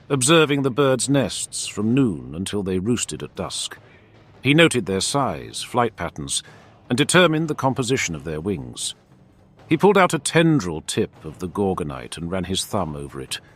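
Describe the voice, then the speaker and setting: A man's voice: lowish voice, sing-song, moderate pace. A man narrating a fantasy war story in a scripted, dramatic storytelling style.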